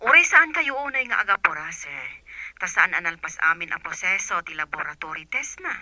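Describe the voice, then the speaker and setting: A woman's voice: fairly low pitch, big pitch swings, fairly quick, sharp tone, exaggerated voice. A woman acting as a doctor making a formal, insistent phone call in an Ilocano radio drama. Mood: businesslike. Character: businesslike female doctor phoning a patient's relative.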